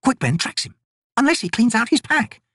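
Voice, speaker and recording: A man's voice: very high-pitched, sing-song, pushed and bright, no echo, clean studio sound, voice pushed up, exaggerated voice. A man putting on a blunt, sarcastic soldier woman. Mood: sardonic. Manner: brisk, raised, sarcastic. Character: gruff female soldier, blunt and sardonic.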